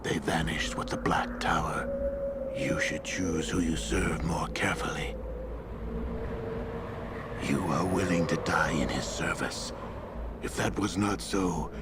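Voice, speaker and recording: A man playing a menacing, ominous cult enforcer in a video game, speaking formally. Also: low-pitched. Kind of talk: video game villain acting. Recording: loud music over the voice.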